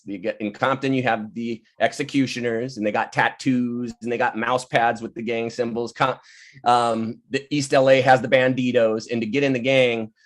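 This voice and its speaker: A man speaking as a playwright in a casual, informal discussion over a video call. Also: medium pitch. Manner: informal, laughs often. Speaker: playwright taking part in a table read. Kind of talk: casual discussion, explaining the work. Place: virtual table read over video call.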